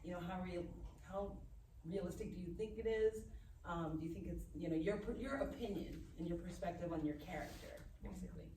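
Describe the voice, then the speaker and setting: Halting woman moderator in an echoey room. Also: fairly low pitch, warm tone. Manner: halting, rambling. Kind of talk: informal feedback session. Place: live event room at a film festival. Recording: echoey room, distant mic, noisy.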